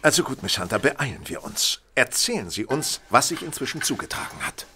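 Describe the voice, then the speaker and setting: An actor playing a brisk, driven gentleman detective in a German audio drama, speaking in a commanding, formal, theatrical way. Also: mid-range voice, very animated, a little hiss or hum. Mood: keenly attentive.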